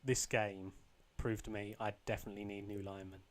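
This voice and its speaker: A young man with a slightly croaky voice, casually commentating his own football video game match, rambling with ums and restarts. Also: fairly low pitch, flat delivery, a little fast. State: a bit croaky. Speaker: gaming channel host.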